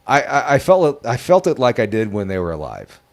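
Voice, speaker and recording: A man's voice: medium pitch, wildly swooping pitch, brisk. A man chatting casually as a guest paranormal investigator, repeating words and stumbling in an emphatic, stammering way. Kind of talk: casual storytelling chat.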